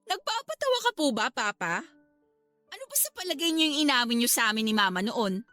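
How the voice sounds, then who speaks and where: A high-pitched young Filipino daughter in a radio melodrama. Her voice is bright and full of feeling, with light music playing behind it. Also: very animated, normal speed, no room sound, heavily acted. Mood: bitter, furious. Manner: emotional, cutting, polite address to parents. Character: hurt, angry student daughter confronting her cheating father. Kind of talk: Filipino radio drama acting.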